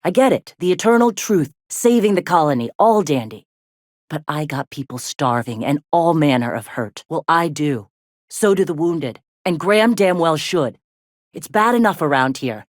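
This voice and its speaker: Blunt, weary rebel medic, low-voiced. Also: female voice, expressive, normal speed, dry recording, clean studio sound. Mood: frustrated, angry. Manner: blunt, earthy, plain-spoken, sardonic. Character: tough, weary, foul-mouthed rebel medic and second-in-command. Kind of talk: video game dialogue acting.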